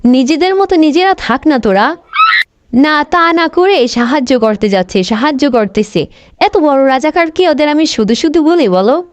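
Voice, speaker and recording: A woman's voice: high-pitched, expressive, moderate pace, muffled recording, background noise. A woman voicing a bossy, sulky, spiteful grandmother in an amateur comic cartoon, nagging and demanding petulantly. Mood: scornful.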